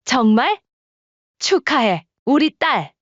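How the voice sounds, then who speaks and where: A woman's voice: high voice, sing-song, slow pace, no room sound, highs cut off, exaggerated voice. A woman voicing a resolute middle-aged mother-in-law who speaks firmly and bluntly. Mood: tender. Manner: firm, blunt.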